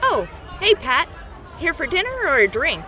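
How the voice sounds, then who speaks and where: A woman's voice: high-pitched, big pitch swings, moderate pace, a crowd in the background. A woman voicing a cheery, folksy barmaid who coaxes and encourages in an audio drama. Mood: welcoming. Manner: chirpy, folksy.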